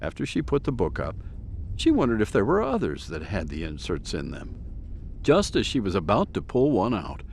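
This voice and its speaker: A man's voice: fairly low pitch, huge pitch swings, moderate pace, darkish tone, slight background noise. A man narrating a scripted audio drama in a dramatic storytelling manner. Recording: prominent music behind narration.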